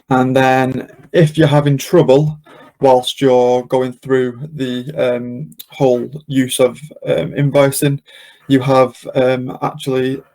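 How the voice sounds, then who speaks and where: A man presenting a live software demo and question session, explaining step by step in an informal, hesitant way. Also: mid-range voice, gravelly, low-quality recording. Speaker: webinar presenter and software demonstrator.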